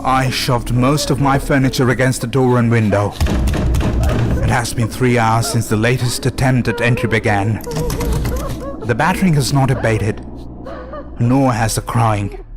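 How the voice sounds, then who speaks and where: A man narrating a scripted horror story in a deliberate, suspenseful way with dramatic pauses. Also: medium pitch, fairly even intonation. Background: music under the voice.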